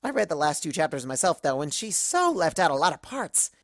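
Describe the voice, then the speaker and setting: A woman acts out a hyper, cheerful cartoon pony telling a rambling, gossipy story. The delivery is exclamatory and swings widely in pitch. The sound is dry, with hardly any room. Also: very animated, a little fast, no echo. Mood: chatty, rambling. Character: hyper, scatterbrained, cheerful young female cartoon pony narrator. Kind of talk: first-person comedic fan fiction narration.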